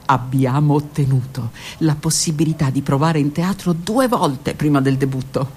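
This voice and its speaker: Woman delivering a hushed theatrical monologue. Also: low-pitched, a little hiss or hum. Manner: slow, dramatic delivery with pauses and hushed passages. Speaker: actress performing her own monologue. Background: music.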